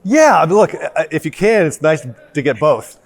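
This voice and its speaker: A man's voice: on the high side, expressive, normal speed, vocal fry. A middle-aged man, a company chief executive being interviewed in a conference studio, talking in an upbeat, matter-of-fact, plainspoken way. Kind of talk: conversational interview.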